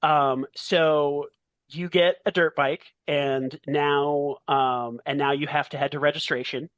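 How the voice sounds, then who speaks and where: A man running a tabletop roleplaying game, narrating haltingly and thinking aloud as he goes. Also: a little high, fairly even intonation, fairly bright tone, clean tone, dry recording. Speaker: game master.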